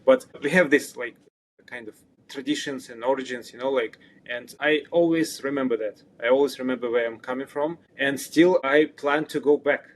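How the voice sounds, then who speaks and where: A man's voice: medium pitch, moderate pace, a little hiss or hum, poor audio. A man from Kazakhstan with a Kazakh accent, talking casually as a guest founder and repeating words for emphasis. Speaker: startup founder, interview guest. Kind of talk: casual interview chat.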